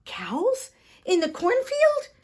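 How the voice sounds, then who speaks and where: Woman playing a cocky young man, very animated. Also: very high voice, slow, pitched above the performer's own voice, heavily acted. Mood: fierce, demanding. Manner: bold, boastful, demanding. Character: cocky, greedy young Irish man seeking treasure.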